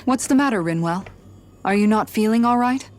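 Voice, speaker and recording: A woman's voice: mid-range voice, expressive, fairly quick, a little mellow, slight background noise, close to an everyday voice. A woman voicing a caring, protective adult knight in a dramatic video game dub. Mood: concerned.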